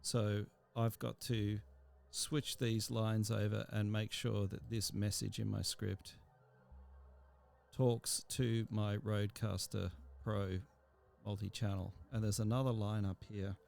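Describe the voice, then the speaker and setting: A man giving an informal software tutorial as an instructor, explaining step by step in a halting way with pauses mid-sentence. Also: on the low side, level tone, creaky.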